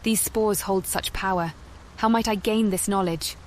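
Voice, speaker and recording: A woman playing a curious, direct adventurer in a fantasy video game, with a brisk, inquisitive manner. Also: medium pitch, steady tone, a little hiss or hum, close to an everyday voice.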